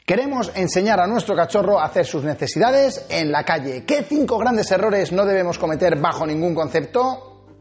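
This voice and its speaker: An energetic man with a Castilian Spanish accent, speaking to camera as a dog trainer. His voice sits a little high and jumps up for emphasis, recorded on a lapel mic in a small studio set with faint music underneath. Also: normal speed. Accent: Spanish from Spain. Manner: animated, big hand gestures, emphatic swings in pitch. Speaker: dog trainer and channel host. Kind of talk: energetic tips video to camera.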